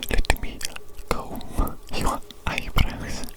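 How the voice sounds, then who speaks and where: A woman whispering an ASMR roleplay as a doctor fussing over a creature, coaxing and soothing, often repeating her phrases. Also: very slow.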